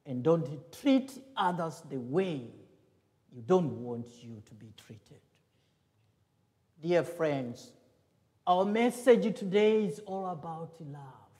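A middle-aged man from Tanzania preaching a homily in a large church sanctuary. His voice sits high for a man and moves at an unhurried pace. The long reverb of the hall trails after each phrase, picked up from a distance by the church sound system. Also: a little mellow. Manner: slow, earnest preaching with rising emphasis. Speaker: priest. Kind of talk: homily at Mass. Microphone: far camera, church sound system.

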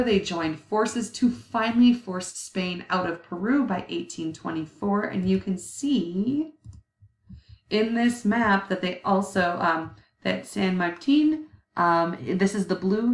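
Woman in her thirties, lowish voice, teacher talking over slides, conversational, roomy distant webcam audio. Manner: conversational, self-correcting, many ums. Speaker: history teacher. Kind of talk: recorded slideshow lecture. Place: indoor room.

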